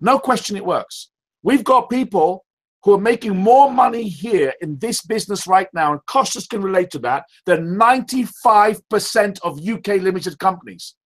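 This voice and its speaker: Loud, emphatic man leading a team call. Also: high voice, moderate pace. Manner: emphatic, repetitive, rhetorical questions. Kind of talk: motivational sales call. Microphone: call audio.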